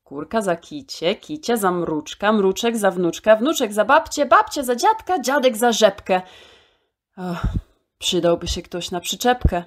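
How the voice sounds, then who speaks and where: A woman storyteller reciting a children's poem in an expressive, theatrical way, drawing out key words. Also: mid-range voice, a little slow.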